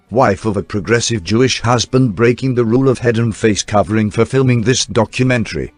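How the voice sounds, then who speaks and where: A man reading scripted newsreel documentary narration in a formal, measured announcer style. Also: medium pitch, no echo. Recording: dry voice-over with faint music bed. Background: faint background music.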